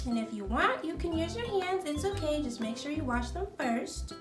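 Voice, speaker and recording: A woman's voice: high-pitched, very animated, normal speed. A woman presenting to young children in simple, encouraging phrases, as in a read-aloud or easy how-to lesson. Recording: roomy, distant mic, music behind.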